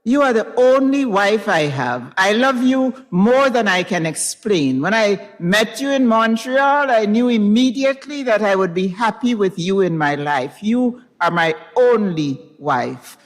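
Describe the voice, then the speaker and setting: A woman's voice: medium pitch, animated, unhurried, hardly any pauses. A woman voicing an earnest, pleading husband in a dramatized story reading, with emphatic delivery. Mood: insistent pleading. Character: pleading, insistent husband, a grown man. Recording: reverberant recital hall.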